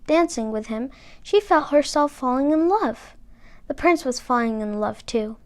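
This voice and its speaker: Female narrator for children's fairy tales, high-pitched, expressive scripted read-aloud. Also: a little slow. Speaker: storybook reader.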